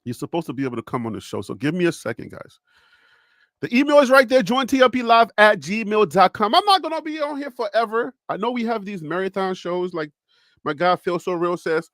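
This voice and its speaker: A man's voice: high-pitched, sing-song, brisk, fairly bright tone, dry recording, clean studio sound. A man with a Liberian accent hosting a solo sports livestream, joking and repeating himself as he talks to his chat. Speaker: sports show host.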